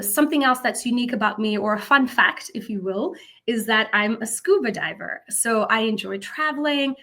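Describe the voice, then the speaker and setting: A bright, clear-toned woman from South Africa leads an upbeat lesson for students, her voice sitting a little high. She sounds encouraging and punctuates her points with emphatic exclamations. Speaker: presenter. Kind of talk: instructional talk to students.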